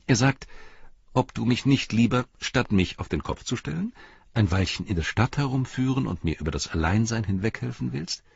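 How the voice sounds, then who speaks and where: A man reading a storybook voices a playful male halfling with teasing bravado and a husky voice. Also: medium pitch, animated, moderate pace, muffled recording, voice pushed up, heavily acted. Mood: friendly. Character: cheeky small male halfling, good-humored.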